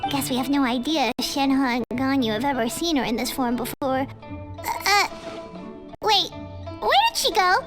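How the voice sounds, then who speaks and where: A woman's voice: high voice, lively intonation, normal speed. A woman voicing a small, cheeky girl companion in a video game, who speaks of herself in the third person, over game music. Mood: puzzled. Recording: game audio with clear music behind. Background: background music.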